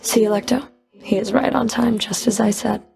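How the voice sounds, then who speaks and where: A woman voicing a stern, sardonic underworld Fury in a video game, menacing and flirtatious, with a creaky voice and cool, clipped, commanding taunts. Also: mid-range voice, steady tone, moderate pace, music in the background. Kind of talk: video game character voice lines.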